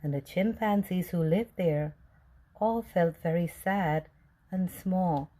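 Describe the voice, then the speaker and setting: A woman reading a children's storybook aloud with deliberate, expressive phrasing. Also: fairly low pitch. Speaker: storybook reader.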